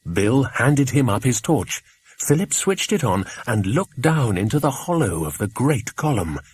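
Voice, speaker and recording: Guy reading a kids adventure story, expressive, lots of pitch movement, a bit rough-edged. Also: unhurried. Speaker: audiobook narrator. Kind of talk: scripted children's adventure narration.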